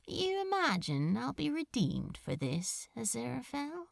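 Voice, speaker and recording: Woman as a casual, soft-hearted male demon, lively pitch. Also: a little slow, dry recording, clean studio sound, full character voice. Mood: curious. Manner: hissing, casual, breathy murmur. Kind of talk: audiobook character acting.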